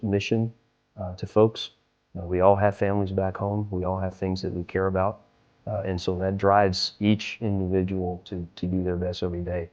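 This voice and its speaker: Low, even-toned American man, slightly muffled. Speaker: military commander. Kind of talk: documentary interview. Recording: dull, muffled sound.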